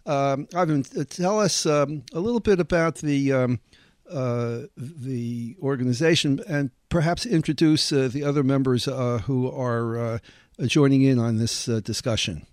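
A man's voice: medium pitch, measured pace, darkish tone, no room sound, studio quality. Hesitant male radio host. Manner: hesitant, many fillers. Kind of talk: radio interview.

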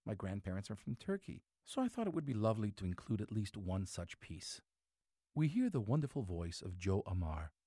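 Lively, low-voiced male radio host from Greece. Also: no room sound, studio quality. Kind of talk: scripted radio narration about music.

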